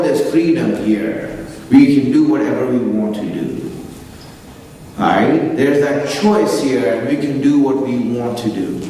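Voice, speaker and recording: A man's voice: on the high side, darkish tone, a little hiss or hum. A man giving an earnest religious lecture at an Islamic center, driving points home by repeating phrases. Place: large hall of an Islamic center. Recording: echoey hall, mic heard from far off.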